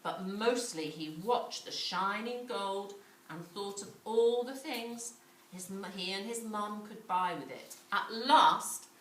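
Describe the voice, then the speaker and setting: A woman reading a children's story as a storyteller, with dramatic, drawn-out emphasis. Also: medium pitch, a bit bright. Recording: echoey room, distant mic, faint noise.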